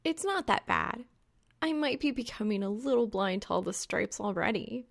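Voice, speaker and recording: A woman's voice: a little high, lively intonation, moderate pace, gravelly, voice pushed up. A woman voicing a playful, sleepy young female gamer with a light, casual lilt in an audiobook.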